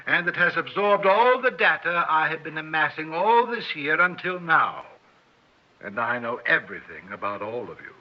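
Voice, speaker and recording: Pompous, higher-voiced arrogant scientist. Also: male voice, sing-song, moderate pace. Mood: boastful. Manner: condescending, pompous. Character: arrogant, coldly superior male scientist, taunting and boastful. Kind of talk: old-time radio drama acting. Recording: muffled old radio recording.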